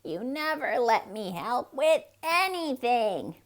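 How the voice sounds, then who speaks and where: A woman's voice: fairly high pitch, very animated, slow pace, voice pushed up, full character voice. A woman voicing a small, eager, easily upset male duck in a children's storybook. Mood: wailing, upset. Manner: murmuring wishfully or wailing.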